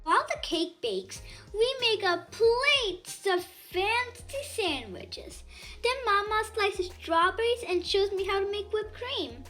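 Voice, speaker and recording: A woman reading a children's picture book aloud in an animated, expressive storytelling way. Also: extremely high pitch, slow pace, faint music behind. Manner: animated, dramatizes dialogue.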